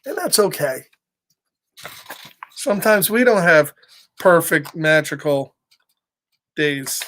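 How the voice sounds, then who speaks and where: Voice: medium pitch, expressive, takes it slowly, fairly bright tone, slight background noise. A children's storyteller reading a picture book casually, in simple repeated phrases, checking in with listeners.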